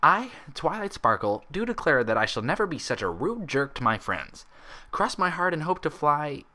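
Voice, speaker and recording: A man's voice: a little high, very animated, normal speed, sharp tone, voice pushed up. A man voicing an earnest, anxious young female cartoon pony with an emotional delivery, read from a story. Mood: mock solemn.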